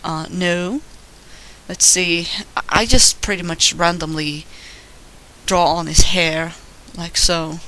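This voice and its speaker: A man's voice: fairly high pitch, steady tone, slow pace, sharp tone, low-quality recording. A man with a Swedish accent talks casually while he draws, rambling, self-deprecating and swearing now and then. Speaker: digital artist narrating his drawing. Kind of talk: casual commentary over a drawing. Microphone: voice-over recorded while drawing.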